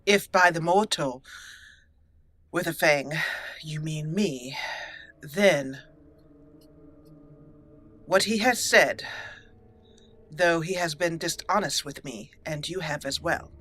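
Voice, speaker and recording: A woman hosting a let's play, narrating in a theatrical, deliberate roleplay style with dramatic pauses. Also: low voice, fairly bright tone. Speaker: gaming channel host.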